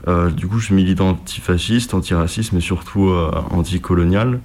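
A man, giving a personal testimony in a halting, hesitant way. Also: deep voice, darkish tone. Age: 20s. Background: music.

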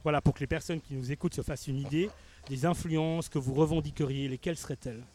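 A man's voice: a little high, fairly even intonation, fast, darkish tone. A man hosting a casual radio interview, chatty, laughing easily and tripping over his words. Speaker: radio host.

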